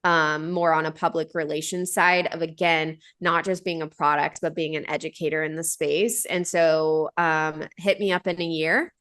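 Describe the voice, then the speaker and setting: A woman's voice: sits low, fairly even intonation, moderate pace, hardly any pauses, fairly bright tone. A woman in her thirties, a company founder answering interview questions in an earnest, conversational way, with many fillers and restarts. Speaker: podcast guest, company founder.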